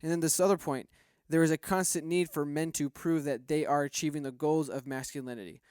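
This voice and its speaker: A man's voice: on the high side, steady tone, brisk, a little mellow, clean tone, no echo, studio quality. A man co-hosting a casual discussion, questioning and steering it point by point. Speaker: podcast co-host steering discussion.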